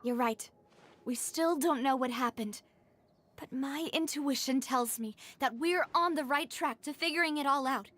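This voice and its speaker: A woman's voice: sits high, a little slow, a bit bright. A woman playing a determined, grieving young noblewoman in a video game, speaking in an earnest, articulate and emotive way. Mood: thoughtful, hopeful. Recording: background music under dialogue.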